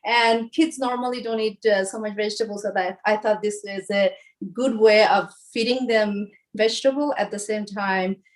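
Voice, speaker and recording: A woman from Bangladesh walks through a home cooking demonstration in a relaxed, chatty way. She hesitates often and restarts her sentences. Her voice sits at an ordinary middle pitch. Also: moderate pace. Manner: hesitant, many fillers and restarts. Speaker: home cook guest.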